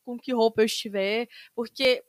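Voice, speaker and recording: Young Brazilian woman, guest on a casual talk, earnest and emphatic, slightly high voice, dry close sound. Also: steady tone, normal speed, clear, resonant tone, no echo. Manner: earnest, emphatic, many fillers. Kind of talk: casual chat.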